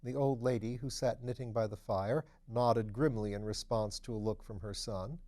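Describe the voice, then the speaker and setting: A man's voice: medium pitch, fairly even intonation, moderate pace, mellow. A man reading literary fiction aloud as scripted audiobook narration. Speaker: audiobook reader.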